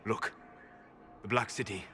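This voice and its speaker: An actor playing a calm, scholarly elf mage in a fantasy game, measured and reflective. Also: male voice. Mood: awed. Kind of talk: dramatic fantasy game acting. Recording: faint ambient noise.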